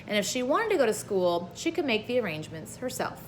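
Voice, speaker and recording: A woman's voice: mid-range voice, big pitch swings, brisk, slight background noise. A woman reading a children's story aloud as an informal storyteller. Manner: informal, with brief asides to listeners.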